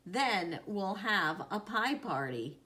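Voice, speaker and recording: A woman's voice: mid-range voice, very animated, takes it slowly, sharp tone, full character voice. A woman voicing a kindly grandmother from a children's storybook, affectionate and instructive, with emphatic exclamations. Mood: cheerful. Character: kindly, lively grandmother baking with her granddaughter. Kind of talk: children's storybook character reading.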